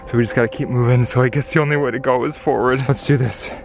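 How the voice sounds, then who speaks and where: A man's voice: mid-range voice, steady tone, fast talker, vocal fry, over music. A young man filming himself at arm's length as he hurries through the woods, talking urgently with gasps and exclamations. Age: young adult. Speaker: vlogger. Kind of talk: excited vlog narration. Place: woods outdoors. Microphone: handheld camera at arm's length.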